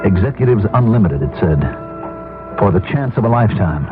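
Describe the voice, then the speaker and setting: Actor as low-voiced desperate man on tinny radio. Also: lively intonation, a little fast, natural voice. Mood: bitter, ashamed. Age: middle-aged. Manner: confessional, tense narration and dialogue. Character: desperate, ashamed middle-aged out-of-work family man narrating his downfall. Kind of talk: 1970s radio drama acting. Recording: tinny 1970s radio broadcast, music behind.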